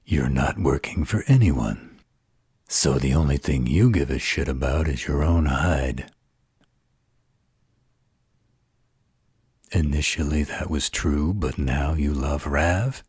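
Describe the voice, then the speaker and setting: A man's voice: rumbling low, a little slow, a little mellow, breathy edge, no room sound, exaggerated voice. A man playing a snarky, distrustful supernatural fighter in an audio roleplay, with a mocking drawl. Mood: suspicious.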